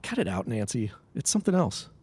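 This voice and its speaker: A man playing an easygoing, folksy young man in an audio drama, speaking in an animated way. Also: mid-range voice, fairly quick, slightly rough. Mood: uneasy.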